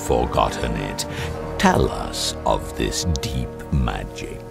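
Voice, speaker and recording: Very deep, gravelly lion king. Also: music in the background, full character voice. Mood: commanding. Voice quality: gravelly, resonant. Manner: slow, solemn, regal. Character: majestic great lion king, calm and commanding. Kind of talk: radio theatre acting.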